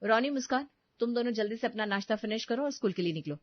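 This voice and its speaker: A woman's voice: fairly high pitch, animated, fast talker, no room sound, highs cut off, lightly acted. A woman voicing a patient, burdened young housewife and mother in a story. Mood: gentle, weary. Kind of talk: dramatised dialogue in an audio story.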